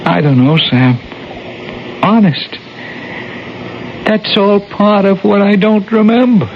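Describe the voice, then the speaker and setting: An actor playing an uncertain, apologetic lost spirit in a 1970s radio drama, hesitant and stammering, with a creaky voice. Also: male voice, sits high, slow pace. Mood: uneasy, apologetic. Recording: muffled, noisy old radio broadcast.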